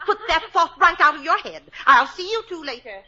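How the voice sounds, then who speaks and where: A woman's voice: extremely high pitch, sing-song, a little fast, pushed and bright, full character voice. An actress in a 1940s radio musical playing a gushing, flighty woman who chaperones a young couple, with a theatrical, fluttery delivery. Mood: scolding. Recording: dull lo-fi 1940s radio broadcast.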